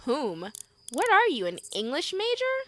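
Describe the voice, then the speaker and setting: A woman's voice: high voice, huge pitch swings, measured pace, bright, forceful tone, exaggerated voice. A woman acting in an audio drama as a callous, hungry mercenary captor with a blunt, dismissive manner.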